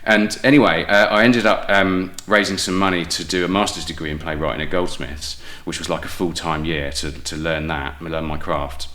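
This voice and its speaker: A man's voice: sits low, level tone, normal speed, hardly any pauses, sharp tone. A man with a southern English accent chatting casually as an interview guest, telling personal stories in a self-deprecating way. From England. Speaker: guest playwright.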